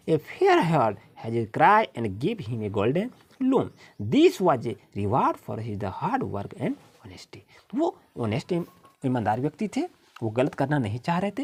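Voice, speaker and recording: Husky, swooping Indian woman teaching children. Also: low voice, a little mellow. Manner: instructive, reads English slowly word by word, often addresses children. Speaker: primary school teacher. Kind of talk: lesson for children, explaining textbook exercises.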